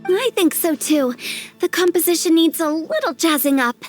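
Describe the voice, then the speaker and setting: A woman's voice: extremely high pitch, expressive, moderate pace, faint music behind. A woman playing a perky, eccentric, confident young hostess in a video game dub, chirpy and self-assured.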